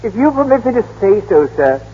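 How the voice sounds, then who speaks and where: High-voiced, proper butler, husky and echoing. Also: male voice, big pitch swings, fairly quick, mellow, heavily acted. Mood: deferential, cautious. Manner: formal, polite, servile. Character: deferential, proper butler to a rich man. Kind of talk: 1930s-40s radio acting. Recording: muffled old radio broadcast, cavernous reverb.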